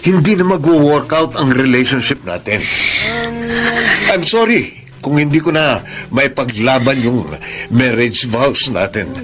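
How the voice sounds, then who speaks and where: A man's voice: mid-range voice, expressive, unhurried, natural voice. A man playing a conflicted, unfaithful husband in a Filipino radio drama. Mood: apologetic. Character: unfaithful husband, conflicted, torn between wife and mistress. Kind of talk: Filipino radio drama acting with confessional narration. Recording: dramatic music behind the voice.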